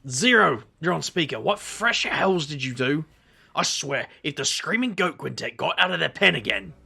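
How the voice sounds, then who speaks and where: Man acting a very gravelly, sardonic rogue. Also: fairly high pitch, lively intonation, normal speed, pushed and bright, heavily acted. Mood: wry, tense. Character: roguish, sardonic supernatural man with dark secrets. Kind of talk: audio drama acting.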